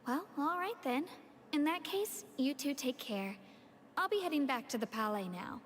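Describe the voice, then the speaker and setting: A woman voicing a formal, matter-of-fact palace messenger in a video game, reporting in a measured way. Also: high voice, expressive, slight background noise. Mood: polite. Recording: game music behind the voice.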